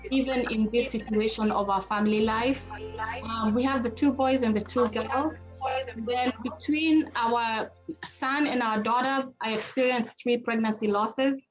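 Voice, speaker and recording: A woman's voice: fairly high pitch, poor audio. A middle-aged woman hosting a webinar in an informal, conversational way, speaking straight to her listeners. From Kenya. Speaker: webinar host and presenter, marriage therapist. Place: online video call.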